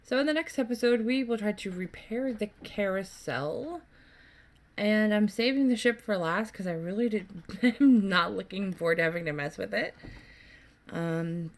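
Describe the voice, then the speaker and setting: A woman doing casual gameplay commentary as a YouTuber, rambling along in a chatty, enthusiastic way. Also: medium pitch. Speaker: gaming YouTuber. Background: game music.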